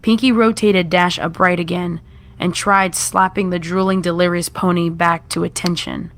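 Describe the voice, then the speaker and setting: A woman with a somewhat low voice narrates a horror tale. She reads from a script as the storyteller. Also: steady tone, moderate pace, fairly bright tone, slight background noise.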